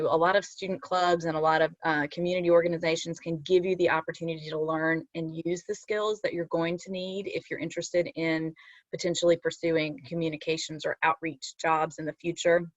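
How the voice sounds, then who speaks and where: A woman's voice: on the low side, no echo. A woman hosting a career panel, fluently encouraging students over a video call. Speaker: panel host. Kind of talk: career advice talk. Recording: dry, dull video call audio.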